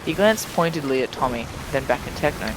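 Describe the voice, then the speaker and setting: A man's voice: mid-range voice, lively intonation, fast talker, noisy background. A man reading fan fiction aloud dramatically as a streamer, dropping into casual chat, tripping on words and swearing offhandedly.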